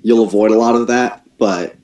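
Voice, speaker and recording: A man's voice: on the low side, fairly even intonation, normal speed, a little mellow, recorded from a distance. A man chatting casually and giving advice in an online team meeting. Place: online group voice call. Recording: echoey online call audio.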